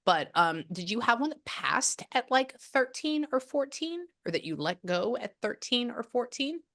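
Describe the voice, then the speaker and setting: A woman's voice: a little high, sing-song, fairly bright tone. A woman in her thirties, a psychic medium, giving a chatty, rambling reading over a video call. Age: 30s. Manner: chatty and rambling, quick bursts of surprise.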